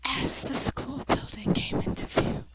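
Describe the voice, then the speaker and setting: A woman slowly reading a visual novel's text aloud, halting and sometimes whispering, with music behind. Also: very noisy.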